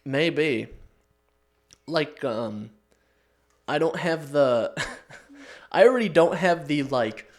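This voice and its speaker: A man's voice: on the high side, lively intonation, takes it slowly, long pauses. A man in his early twenties co-hosting a podcast, joking casually with a friend in profane, slangy talk. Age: early 20s. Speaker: podcast co-host.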